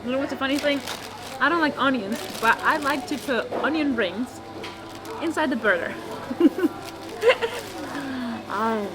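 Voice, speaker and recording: A woman's voice: high-pitched, a little slow, pauses often, poor audio. A young woman whose first language is Spanish, chatting casually to camera in a vlog, teasing herself and laughing often. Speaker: vlogger.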